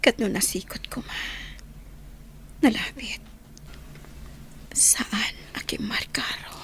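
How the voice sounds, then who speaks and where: A woman playing a gravely ill young wife in a radio drama, speaking in a weak, breathy, sorrowful whisper. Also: on the high side, slow pace, a bit bright. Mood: grateful, sorrowful. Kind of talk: radio drama acting in Ilocano.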